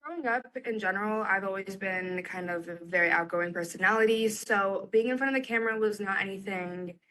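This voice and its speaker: Bright-voiced woman chatting as interview guest. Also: mid-range voice, brisk, low-quality recording. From United States and Egypt. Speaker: social media content creator, interview guest. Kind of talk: casual interview chat.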